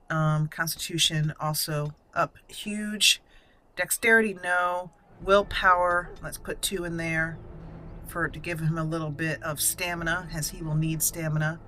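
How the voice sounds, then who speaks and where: A woman's voice: sits low, pushed and bright. A woman hosting a casual game walkthrough, thinking aloud in short fragments as she plays. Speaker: gaming channel host.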